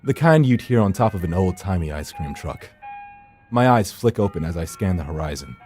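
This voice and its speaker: A man's voice: sits low, sing-song, fairly quick, darkish tone. A man reading a visual novel aloud as dramatic scripted narration, with a brooding, theatrical delivery. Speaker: gameplay channel host reading aloud. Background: faint music.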